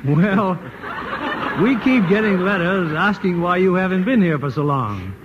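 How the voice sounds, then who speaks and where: A man's voice: sits high, animated, measured pace. A man hosting a radio theater show, introducing guests and reading a sponsor message in a polished announcer manner. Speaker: radio host. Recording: muffled old radio broadcast with faint hiss.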